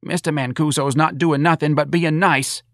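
Man putting on an aggrieved older mother, pitched high. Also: fairly even intonation, normal speed, dry recording, clean studio sound, close to an everyday voice. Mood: angry, wounded. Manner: folksy ungrammatical talk, plaintive. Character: aggrieved, older working-class mother, nagging and self-pitying.

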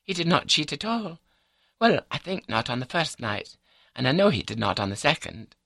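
A man's voice: a little high, big pitch swings, normal speed, vocal fry, sharp tone, no echo, studio quality, voice pushed up, exaggerated voice. A man voicing a prim, scatterbrained elderly Scottish wife in an audiobook, prattling on in an unruffled, matter-of-fact way with a Scottish accent. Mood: calm, assured. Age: older.

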